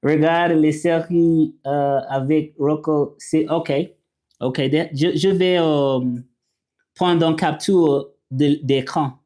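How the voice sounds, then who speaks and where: Slow, halting man with an English-speaking accent. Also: on the high side, fairly even intonation, warm tone. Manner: slow and halting, with many fillers and restarts. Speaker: livestream host. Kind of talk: casual live chat reading viewer comments.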